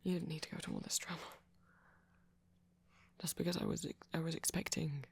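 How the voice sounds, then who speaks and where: A man voicing a shy, gentle vampire boyfriend in an ASMR romance roleplay, stammering hesitantly in a soft, breathy voice. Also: a little high, wildly swooping pitch, fairly quick, heavily acted. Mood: nervous, affectionate.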